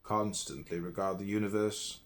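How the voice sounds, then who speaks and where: A man reads philosophy aloud as a narrator, phrasing it deliberately and emphatically with pauses. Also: on the low side, fairly even intonation. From United States. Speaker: audiobook reader.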